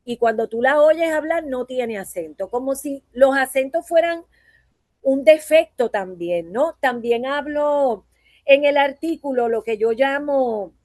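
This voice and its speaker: A woman's voice: high voice, a little mellow, dry recording. Woman, Puerto Rican accent, voice teacher, conversational talk, tag questions, dry close sound. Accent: Puerto Rican Spanish. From Puerto Rico. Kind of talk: conference talk.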